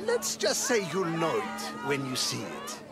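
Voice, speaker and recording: High-pitched pompous religious zealot. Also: male voice, wildly swooping pitch, unhurried, a loud crowd, exaggerated voice. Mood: reverent, sly. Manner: theatrical, fawning, pompous. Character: indignant, pompous devotee of a dubious god. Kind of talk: comic video game acting. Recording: loud music behind the voice.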